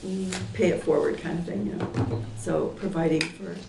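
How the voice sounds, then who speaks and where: A woman's voice: lowish voice, steady tone, normal speed, vocal fry, recorded from a distance. A woman in her sixties, from Portland, Oregon, who is losing her voice, explaining her plans in a rambling, self-correcting way at a public meeting. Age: 60s. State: losing her voice, clearing her throat. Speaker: applicant presenting a plan at a public meeting. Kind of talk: unscripted explanation with many fillers. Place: community meeting room, indoors. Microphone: table microphone, some distance off. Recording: echoey room, voice away from mic, faint noise.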